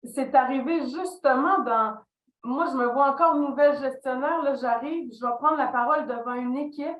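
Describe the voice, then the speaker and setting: Woman, middle-aged, Québécois French accent, higher voice, animated storyteller, echoey room. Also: moderate pace. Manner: animated storytelling, acts out quoted dialogue. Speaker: podcast guest, leadership consultant. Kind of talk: reflective interview talk.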